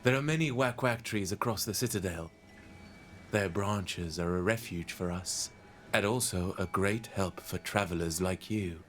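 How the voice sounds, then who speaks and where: A kindly, wise dweller of the woods explains things in a fantasy game. His voice is low and slow, gently telling, over faint music. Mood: friendly, amused. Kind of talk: fantasy video game character acting.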